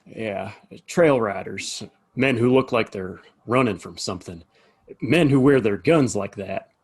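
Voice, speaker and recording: Husky, put-upon saloon keeper played by a man. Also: on the low side, measured pace. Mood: curious, folksy. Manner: hesitant, folksy. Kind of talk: Western audio play acting.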